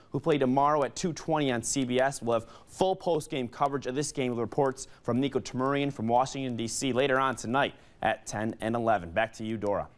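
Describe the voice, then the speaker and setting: A man with an American accent giving a television sports report. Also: mid-range voice.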